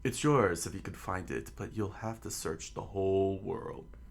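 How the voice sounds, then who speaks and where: A man voicing a sly, smirking pirate king speaking low. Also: a little slow, creaky, heavily acted. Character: smirking older male pirate king, taunting.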